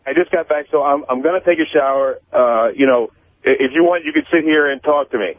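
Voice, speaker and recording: A man's voice: fairly high pitch, no room sound. Stammering male caller on tinny phone line. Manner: halting, stammering, defensive. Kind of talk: casual confessional anecdote.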